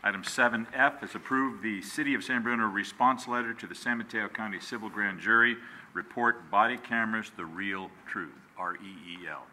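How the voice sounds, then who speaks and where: Mayor briskly running council business. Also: male voice, fairly low pitch, bright, forceful tone, slight background noise. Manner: brisk procedural, reads agenda items. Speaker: mayor chairing the meeting. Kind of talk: chairing a council meeting.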